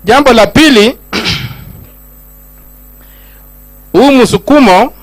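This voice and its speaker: A man's voice: very high voice, measured pace, no room sound. A middle-aged man with a Kenyan accent giving a speech as a senator, moving between Swahili and English with rising, emphatic lines. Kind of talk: public speech at a gathering.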